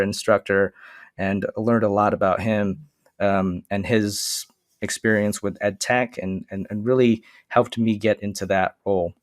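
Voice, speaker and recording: Low-voiced man, native Vietnamese speaker. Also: no room sound, studio quality. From Michigan, USA. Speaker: guest, STEM consultant. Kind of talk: casual podcast interview.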